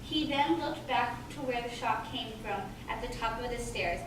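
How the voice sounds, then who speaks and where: A woman's voice: fairly high pitch, normal speed. A woman giving scripted dramatic narration for a radio play. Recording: very echoey hall, distant mic, clear noise.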